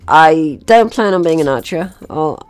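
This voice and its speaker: A man's voice: a little high, lively intonation, measured pace, smooth. A man giving casual gameplay commentary, chatting through his own actions in short remarks. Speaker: gaming video host.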